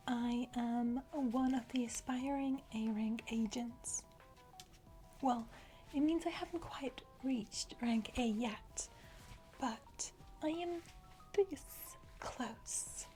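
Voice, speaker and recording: A woman plays a self-important female villain's grunt in an ASMR roleplay. She whispers breathily into a close mic at a slow pace, confiding and a little hesitant, with music underneath. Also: fairly high pitch, warm tone. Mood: boastful, coy. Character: boastful, eager young female criminal henchwoman. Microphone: close microphone.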